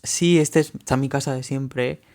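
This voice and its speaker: A man from Murcia with a softened Murcian Spanish accent, hosting a podcast and answering his guest with warmth and stories of his own. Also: mid-range voice, fairly even intonation, moderate pace. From Murcia, Spain. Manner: supportive, empathetic, relates own experience. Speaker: podcast host. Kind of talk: casual conversation.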